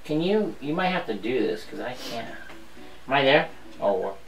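Woman w a low voice, playful teasing, swoopy lively pitch, far off in an echoey room. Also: normal speed, soft background music. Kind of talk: casual chat while playing a video game. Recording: roomy, distant home video.

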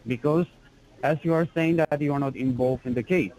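A man posing as an officer on a phone call, talking formally and insistently with halting restarts. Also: on the high side, dark tone, a little hiss or hum.

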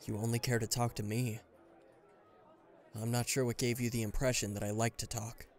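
A man's voice: medium pitch, level tone, normal speed, a little mellow, soft background music, some chatter behind, lightly acted. A man playing an aloof, reluctantly caring bodyguard in a roleplay audio drama, talking to the listener in a dry, guarded, mildly exasperated way. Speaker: voice actor.

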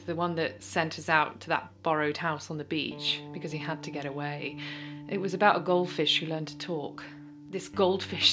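An actress playing a bitter, scornful widow in an audio drama monologue, blunt and confessional with halting moments. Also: female voice, deep voice, a bit bright. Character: bitter, scornful second wife, now widowed.

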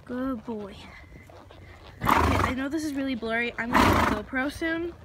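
A woman's voice: high-pitched, normal speed. A young woman filming herself at an outdoor barn on a handheld phone, chatting to the camera and coaxing her horse with praise. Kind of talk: casual vlog narration. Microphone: phone held in hand while riding. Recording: noisy outdoor phone recording.

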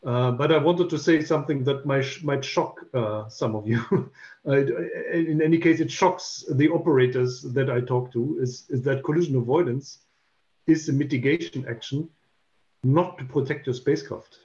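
A man in his fifties, an English speaker, explaining carefully as a panel guest on a video call and pausing on many "uh" fillers. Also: medium pitch, a little mellow. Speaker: panel guest, space safety expert. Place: home room, indoors. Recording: dull lo-fi call audio.

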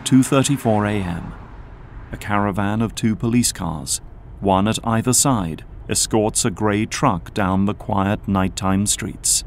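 A man's voice: fairly low pitch, measured pace, creaky, a little hiss or hum. A man narrating an action audio drama from a script.

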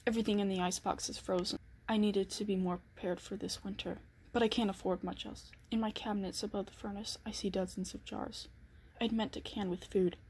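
A woman playing an anxious, secretive killer in a dramatic first-person period monologue, with a husky voice. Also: medium pitch, steady tone, fairly quick, darkish tone, slight background noise, natural voice. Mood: brooding. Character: anxious, secretive 1912 killer snowed in at home.

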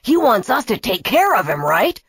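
A mocking, power-hungry Tiki schemer for a children's tale , as a woman plays him. He sneers and boasts with big pitch swings, recorded dry and close. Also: big pitch swings, moderate pace, fairly bright tone, breathy edge, dry recording, full character voice. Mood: plotting.

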